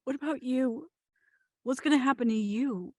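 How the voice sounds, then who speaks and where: A woman's voice: high voice, moderate pace, dry recording. A woman reading the part of an anxious, sentimental bride in a screenplay table read, plaintive and wry. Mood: wistful, anxious. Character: Lillian, anxious sentimental bride dreading change.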